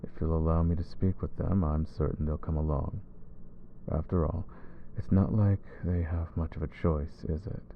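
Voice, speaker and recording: A man voicing a brooding male vampire who hunts vampires, soft-spoken and calm in an ASMR roleplay. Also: a bass voice, moderate pace, narrow, tinny audio, slight background noise. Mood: weary.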